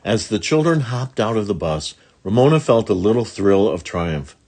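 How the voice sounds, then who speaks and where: A man's voice: on the low side, sing-song, moderate pace, darkish tone. A man narrating a children's storybook expressively, with dramatic emphasis on words. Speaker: children's book read-along narrator.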